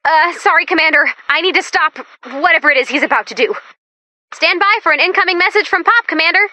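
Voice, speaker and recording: A woman's voice: extremely high pitch, expressive, fast talker, bright, forceful tone, dry recording, exaggerated voice. A woman voicing an upbeat, quirky female robot assistant who guides the commander in a video game, with a chatty, theatrical, comic manner. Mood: upbeat, eager.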